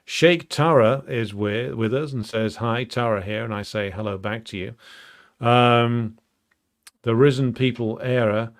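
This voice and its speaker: A man hosting a live stream, chatting casually and reading out viewer comments, halting with many ums and uhs. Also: mid-range voice. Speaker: livestream host.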